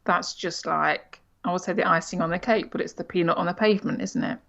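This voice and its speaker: A woman's voice: lowish voice, brisk, vocal fry, fairly bright tone, muffled recording. A woman co-hosting a casual podcast, playful and chatty. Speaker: podcast co-host.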